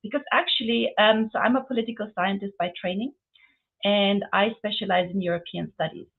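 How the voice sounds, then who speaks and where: Woman, forties, gravelly voice, earnest, filler-heavy, tinny line. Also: medium pitch, fairly even intonation, fairly quick. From Romania. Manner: earnest, fluent with many fillers. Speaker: panelist, UN office chief. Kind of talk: webinar panel talk. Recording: phone-like narrow line.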